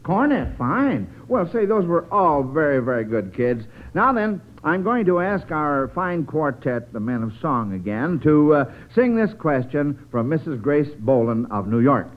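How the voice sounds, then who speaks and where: High-pitched, animated male quiz host. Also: measured pace, few pauses. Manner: encouraging, folksy, hesitant. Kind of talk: 1940s radio quiz hosting with children. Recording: muffled old radio broadcast.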